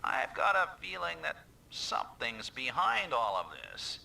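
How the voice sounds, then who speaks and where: An actor playing a gruff mech squad leader in a video game, giving clipped, authoritative military orders. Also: male voice, a little high, very animated, a little slow, far from the mic, very noisy, full character voice. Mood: grave, businesslike.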